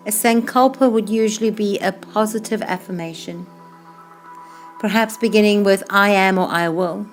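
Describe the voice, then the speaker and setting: A woman leads a guided relaxation as a yoga instructor, with music playing behind her. Her pitch sits in the middle for a woman. She speaks slowly and soothingly, letting the ends of phrases fade softly. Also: fairly even intonation. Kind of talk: guided meditation, slow calm instruction.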